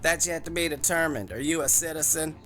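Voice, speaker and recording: A man voicing an officious, well-groomed government interrogator in a suit, with a brisk, clipped, bureaucratic manner, in an audiobook. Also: fairly high pitch, bright, forceful tone, soft background music, pitched above the performer's own voice. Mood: pressing.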